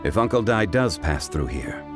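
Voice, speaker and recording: A man voicing a dignified, composed gentleman scholar in a video game, speaking in a formal, deliberate manner. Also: low-pitched, very animated. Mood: grave, thoughtful. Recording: faint music behind the voice.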